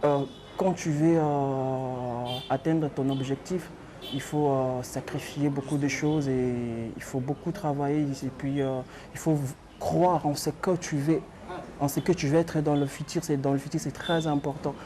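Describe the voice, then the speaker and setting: A man's voice: fairly high pitch, steady tone, measured pace, background noise. A young man from Congo with a Congolese French accent, a guest musician speaking in a tired, hesitant way full of fillers. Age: young adult.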